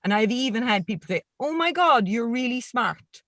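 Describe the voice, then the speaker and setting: A woman's voice: a little high, lively intonation, fairly bright tone, no echo, studio quality. Earnest woman with a rural Irish accent. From Ireland. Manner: earnest, emphatic, measured. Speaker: panelist. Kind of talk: panel discussion.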